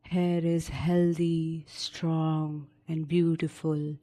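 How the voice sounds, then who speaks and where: A woman narrating a guided sleep meditation in a soothing, unhurried manner with long pauses between short instructions. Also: low voice, little pitch movement, mellow.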